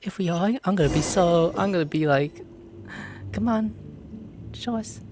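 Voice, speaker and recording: A woman doing casual, excitable let's-play commentary, exclaiming and gushing as she talks to the characters and to herself. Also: fairly low pitch, moderate pace, a little hiss or hum. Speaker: gameplay streamer. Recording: game music behind the voice.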